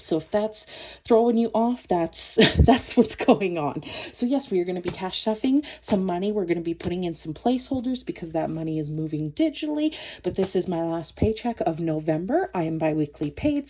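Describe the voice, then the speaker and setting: A woman's voice: mid-range voice, warm tone, a little hiss or hum. A woman in her thirties, stuffed up from a cold, chatting casually as she counts cash for a budgeting vlog, often stopping to correct herself. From Canada. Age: 30s. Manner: friendly, self-correcting, chatty. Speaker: budgeting vlogger. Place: home, at night.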